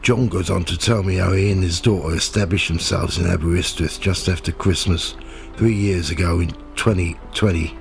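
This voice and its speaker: A man's voice: low-pitched, fairly even intonation, moderate pace, creaky, faint music behind. A man playing a nosy, cynical, pushy reporter in a radio play, with slangy, sardonic streetwise talk. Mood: dry, narrating. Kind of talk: audio drama dialogue and first-person narration.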